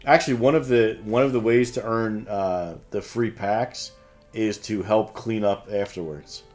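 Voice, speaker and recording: A man's voice: fairly low pitch, fairly even intonation, unhurried, a little mellow. A man co-hosting an informal store news segment, reading announcements and bantering casually. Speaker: co-host. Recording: music bed under the voice. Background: music.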